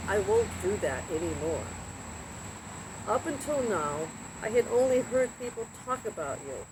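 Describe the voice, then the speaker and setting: A woman's voice: mid-range voice, unhurried. Woman reading as earnest devout man, very noisy recording. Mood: humble. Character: devout rich man Job, sorely tested, earnest. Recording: very noisy recording with steady background noise.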